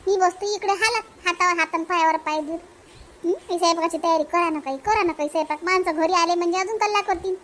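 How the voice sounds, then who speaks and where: A woman's voice: very high-pitched, quick pace, fairly bright tone. A woman voicing a bossy older mother-in-law in a cartoon family comedy, nagging with a Vidarbha accent. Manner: nagging, rhetorical questioning.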